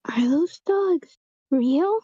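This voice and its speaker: A woman's voice: high voice, animated, extremely slow, warm tone, dry recording, exaggerated voice. A woman playing a curious, blunt young girl in a screenplay table read, on a dull lo-fi microphone. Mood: curious, puzzled.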